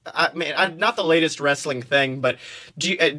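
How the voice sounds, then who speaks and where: A man hosting a casual comedic podcast, telling stories in an animated way, swearing freely and acting out quotes. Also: fairly high pitch, normal speed. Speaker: podcast host.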